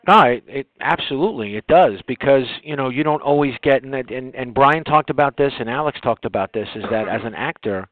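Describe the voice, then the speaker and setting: A male interview host speaks in a mid-pitched voice through a thin, phone-like signal with little room sound. His delivery is conversational and halting, with repeated words as he builds each thought. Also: animated, no room sound.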